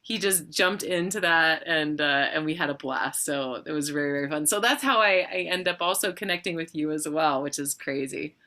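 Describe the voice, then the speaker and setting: A woman's voice: low-pitched, moderate pace, hardly any pauses, sharp tone. A woman hosting a casual interview, bubbly and effusive, laughing often and gushing over her guest. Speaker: host. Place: video call livestream.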